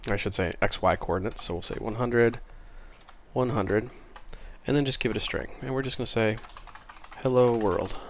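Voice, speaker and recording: A man with a fairly low, slightly congested voice hosts a casual programming tutorial. He talks informally and apologetically, thinking aloud as he works. Typing and mouse clicks are audible behind him. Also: normal speed. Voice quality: slightly congested, stuffy nose. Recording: clear keyboard and mouse clicking noise.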